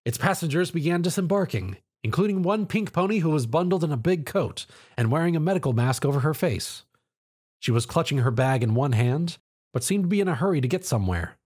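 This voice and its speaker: A man reading an action story aloud as a scripted narration in an animated way. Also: fairly high pitch, brisk, breathy edge.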